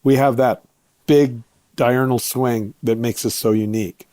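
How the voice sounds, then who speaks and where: A man with an American accent giving explanatory interview answers as a guest, in measured, short phrases with pauses. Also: mid-range voice, steady tone, dark tone, no echo. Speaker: guest, vineyard owner and viticulturist.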